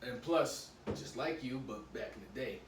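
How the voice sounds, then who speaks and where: Rambling young man in an echoey room. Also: mid-range voice. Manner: rambling, halting, many restarts. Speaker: podcast host. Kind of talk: casual chat. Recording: echoey room, mic at a distance, faint hum.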